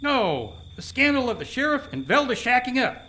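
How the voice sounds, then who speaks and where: A woman's voice: sits high, big pitch swings, normal speed. A woman playing a wry, gossip-hungry newspaper columnist in a comic radio play, with an arch delivery, recorded live on stage. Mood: arch, gossipy. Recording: live theater stage, faint noise.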